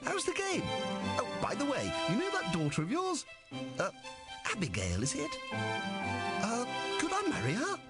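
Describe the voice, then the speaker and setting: Nervous young suitor, adventure game cartoon style, flustered rambling, wildly varied pitch over loud music. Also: male voice, wildly swooping pitch, a little slow, long pauses, exaggerated voice.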